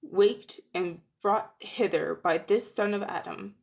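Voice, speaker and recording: Woman as a great male lion, storybook character, low, solemn, stern, regal, measured. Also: gravelly, a little mellow. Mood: grave, reassuring. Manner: grave, measured, formal.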